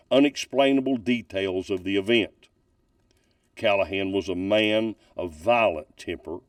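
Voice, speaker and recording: A man reading a ghost story aloud from a book as a storyteller, with deliberate, dramatic emphasis. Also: on the low side, darkish tone, no echo, studio quality, far from the mic. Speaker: narrator reading ghost stories.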